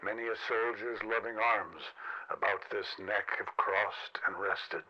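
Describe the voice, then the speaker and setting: An actor playing a solemn, tender man who recites poetry in measured, deliberate phrases. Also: fairly low pitch, bright, forceful tone, highs cut off, exaggerated voice. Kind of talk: slow dramatic poetry recitation.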